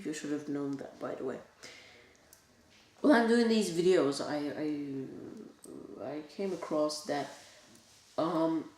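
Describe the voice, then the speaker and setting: Host in an echoey room. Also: medium pitch. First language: Bulgarian. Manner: halting, non-native phrasing with many restarts. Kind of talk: casual talk-show monologue to camera. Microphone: camera set back from the speaker. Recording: echoey room, distant mic, faint hiss.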